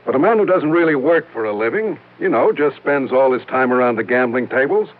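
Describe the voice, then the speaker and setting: A man playing an earnest American insurance man who sounds worried and distrustful. His mid-pitched voice carries confiding, slightly hesitant radio-drama dialogue. The recording is narrow and tinny, like an old 1950s broadcast. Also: fairly quick, lightly acted. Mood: uneasy, suspicious. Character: worried, suspicious male insurance office manager. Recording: thin band-limited old radio broadcast.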